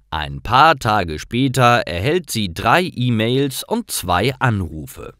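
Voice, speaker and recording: A man reading scripted stories for language learners as narrator, in simple, clearly phrased storytelling. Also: lowish voice, a bit bright, no room sound, studio quality.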